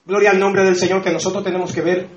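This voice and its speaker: A man with a Dominican Spanish accent, a pastor preaching an exuberant sermon with shouts of praise and laughter. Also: high voice, flat delivery, quick pace, highs cut off. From Dominican Republic. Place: church hall. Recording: echoey church with congregation.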